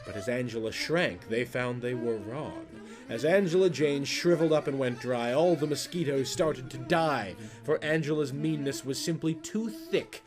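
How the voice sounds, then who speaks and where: A man's voice: mid-range voice, normal speed, over music. A man narrating a rhyming children's poem as a storyteller, in a theatrical, rhythmic, emphatic way.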